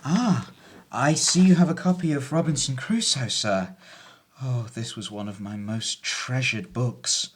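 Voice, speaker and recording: A man's voice: medium pitch, unhurried, breathy edge. Young errand boy played by a man, polite, earnest, deferential, formal. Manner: formal and deferential, ends lines with sir. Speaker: actor. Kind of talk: amateur stage play audio.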